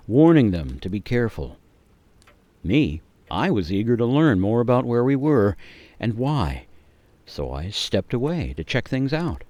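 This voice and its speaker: A man giving a measured, theatrical, suspenseful narration of a scripted radio story. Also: on the low side, dark tone, dry recording.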